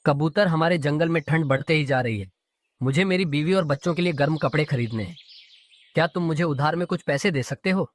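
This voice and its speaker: Lady playing a gentle cartoon bird, really low voice, earnest and caring. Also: fairly quick. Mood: earnest request. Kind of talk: animated cartoon voice acting.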